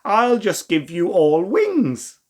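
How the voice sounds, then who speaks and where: Man voicing a high, confident storybook fairy girl. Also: huge pitch swings, slow, darkish tone, full character voice. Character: confident, helpful young girl fairy guide.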